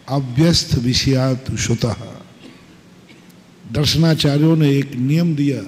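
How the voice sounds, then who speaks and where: A man preaching a sermon as a monk, slow and emphatic, posing rhetorical questions and repeating short maxims. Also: a little high, darkish tone. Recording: long hall reverb.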